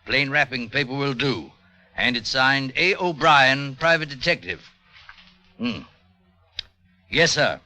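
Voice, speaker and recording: An actor playing a brisk, businesslike police inspector with a clipped official manner in an old-time radio drama. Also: male voice, mid-range voice, expressive, bright, forceful tone, heavily acted. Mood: businesslike, dutiful.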